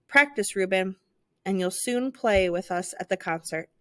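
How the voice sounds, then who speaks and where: A woman's voice: medium pitch, normal speed, dry recording, close to an everyday voice. A woman voicing a commanding yet encouraging male orchestra maestro in a storybook, with emphatic, instructive delivery. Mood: firm, encouraging.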